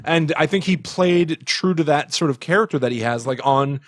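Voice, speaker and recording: A man co-hosting a podcast in casual chat, animated and often incredulous as he recounts things. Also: a little high, normal speed, no echo. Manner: animated, reads out and re-enacts quotes incredulously. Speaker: podcast co-host.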